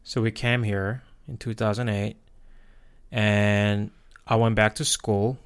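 A man with a Korean accent and native Korean, telling personal stories casually in a halting, reflective way, searching for words. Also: lowish voice, little pitch movement, clean tone. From South Korea. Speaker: podcast host.

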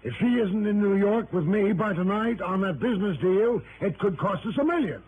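Blustering American swindler, high voice, threatening, slangy, old radio drama, tinny noisy broadcast. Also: male voice, expressive, moderate pace. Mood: bullying, indignant. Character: loud bullying con man posing as aggrieved hotel guest. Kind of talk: 1960s radio drama acting.

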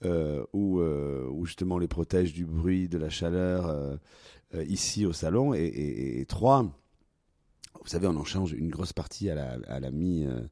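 A man explaining how a competition works in an interview, halting often with fillers. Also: low voice, dark tone, no echo, clean studio sound. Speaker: competition organizer.

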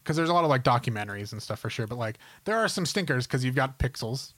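A man's voice: medium pitch, expressive, fast talker, a bit bright, dry recording. Enthusiastic, rambling man chatting casually. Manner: enthusiastic, rambling, heavy use of "like". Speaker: podcast co-host. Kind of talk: casual chat about movies and games.

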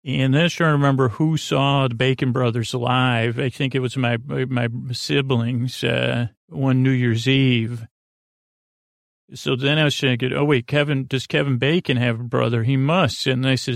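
A man's voice: medium pitch, creaky, no echo, studio quality. A man hosting a bedtime podcast, rambling and meandering, stammering and losing his train of thought as he talks. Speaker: podcast host.

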